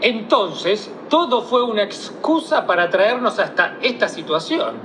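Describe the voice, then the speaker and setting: High-voiced, fussy male inspector. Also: big pitch swings, a little slow, pushed and bright, slight background noise, full character voice. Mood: skeptical. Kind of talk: theatrical radio play acting.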